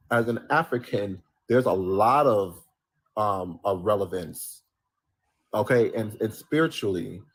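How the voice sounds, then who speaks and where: A man's voice: lowish voice, husky. An American man arguing insistently in a livestream debate over a call mic, lecturing with wry asides. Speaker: livestream guest.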